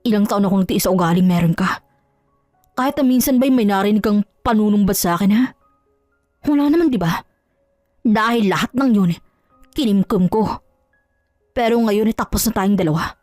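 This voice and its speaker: A woman's voice: mid-range voice, normal speed, long pauses, darkish tone, no room sound, clean studio sound, soft background music, close to an everyday voice. A woman voicing a betrayed husband who is bitter, angry and scornful, in melodramatic audio drama style. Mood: bitter accusing. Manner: accusing, scornful.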